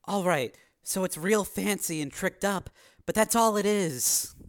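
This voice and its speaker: Guy doing a street-tough devoted girlfriend, high voice, blunt and earnest, audiobook drama. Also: a little slow. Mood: exasperated, insistent. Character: tough, devoted, outspoken young woman lover.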